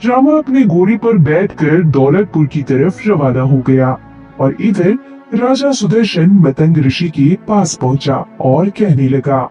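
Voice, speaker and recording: Man narrating a story, high voice, expressive, muffled lo-fi audio with soft music. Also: measured pace. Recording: dull, band-limited audio. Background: faint music.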